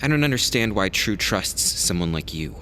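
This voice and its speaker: A man's voice: on the low side, big pitch swings, moderate pace, sharp tone, slight background noise. A man playing a blunt, distrustful young lieutenant in an audio drama, curt and formal. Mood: cold, distrustful.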